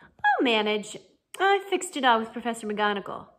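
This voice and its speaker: A woman voicing a studious teenage schoolgirl, brisk, self-assured and impatient, in a storybook reading. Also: a little high, very animated, a bit bright. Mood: brisk, defensive.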